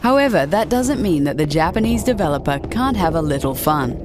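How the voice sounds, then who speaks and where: A woman with a low voice delivers scripted narration as the presenter of a video game list show. Music plays clearly behind her. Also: sing-song, fairly quick.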